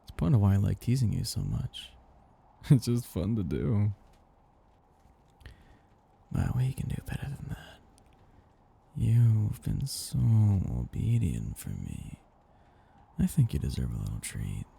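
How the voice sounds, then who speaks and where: A man voicing a possessive, teasing vampire master in an intimate roleplay ASMR, with a slow, condescendingly affectionate manner and breathy delivery. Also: sing-song, heavily acted. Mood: teasing, commanding. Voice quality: breathy, low, dark.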